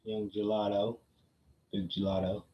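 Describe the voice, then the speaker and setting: A man's voice: on the low side, monotone, unhurried. A man livestreaming a casual personal story to his chat, slangy, repeating phrases for emphasis and often checking in with listeners. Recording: muffled, roomy echo.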